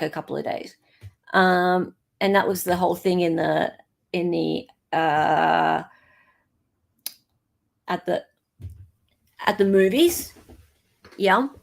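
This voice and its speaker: A woman's voice: lowish voice, slow pace, gravelly, long pauses. A woman hosting a casual commentary, thinking aloud in an informal, flippant way. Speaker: host commentator.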